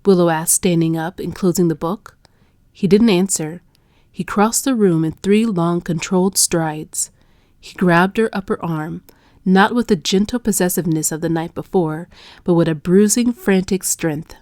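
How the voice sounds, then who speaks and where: Low-pitched, dry-sounding woman narrator. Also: moderate pace, darkish tone, dry recording. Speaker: audiobook narrator. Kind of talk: scripted dark romance narration.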